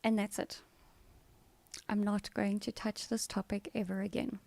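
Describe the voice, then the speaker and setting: A woman's voice: medium pitch, flat delivery, unhurried, gravelly, darkish tone, a little hiss or hum. A woman live streamer chatting casually with her viewers in a soothing voice, playfully answering comments and firmly saying no. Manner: chatty and playful, breaking into firm repeated no's.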